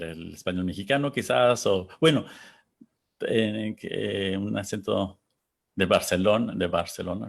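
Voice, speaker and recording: A man from Texas hosting a panel, talking casually and reflectively, pausing to search for words and reading out audience comments. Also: on the low side, vocal fry. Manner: thoughtful, searching for words, pauses.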